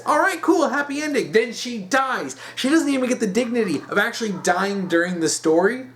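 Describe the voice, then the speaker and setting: A man's voice: extremely high pitch, fairly bright tone. A man giving a casual, opinionated commentary in a blunt, exasperated and emphatic way. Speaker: online commentator.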